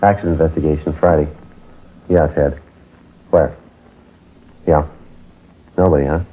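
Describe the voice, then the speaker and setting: A man's voice: rumbling low, fairly even intonation, a little slow. An American man acting a stoic, terse police sergeant in a 1950s radio drama, clipped and matter-of-fact. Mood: terse, businesslike. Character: stoic male police sergeant detective, middle-aged, terse and businesslike. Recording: tinny old radio recording, music behind.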